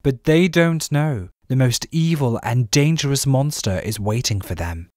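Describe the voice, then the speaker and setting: A man's voice: medium pitch, unhurried, darkish tone, no room sound, clean studio sound. A man delivering scripted promotional narration as a voice actor.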